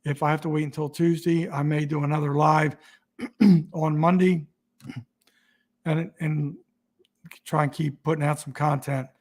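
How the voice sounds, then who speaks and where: A man hosting a casual livestream, rambling and halting with many restarts, his voice getting raspy. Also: a little high, level tone, darkish tone. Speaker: YouTube channel host.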